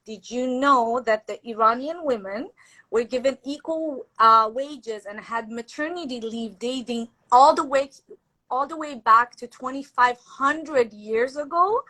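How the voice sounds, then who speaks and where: A woman's voice: fairly high pitch, bright, forceful tone, poor audio. A woman guest explaining history casually, with a hesitant delivery full of fillers. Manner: slow, hesitant, many fillers.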